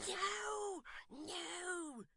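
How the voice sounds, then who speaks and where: A woman's voice: medium pitch, expressive, slow, dry recording, studio quality, pitched above the performer's own voice. Woman playing a vain young apprentice. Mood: urgent.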